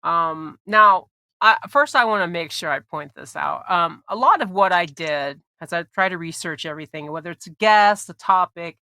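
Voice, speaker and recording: A woman's voice: lowish voice, lively intonation, moderate pace, bright, forceful tone, no room sound. A woman hosting a podcast in casual chat, a bit congested, with lots of ums, restarts and laughs. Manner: chatty, laughs often, frequent ums and restarts. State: congested from allergies. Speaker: podcast host.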